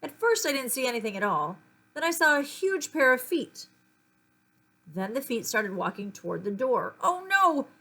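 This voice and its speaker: A woman reading a children's story aloud in an expressive, dramatic storytelling manner. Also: high-pitched, moderate pace. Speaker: audiobook-style reader.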